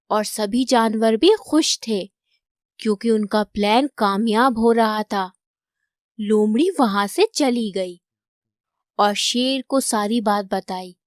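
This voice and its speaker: A woman's voice: mid-range voice, dry recording, studio quality. A woman narrating a scripted children's moral story.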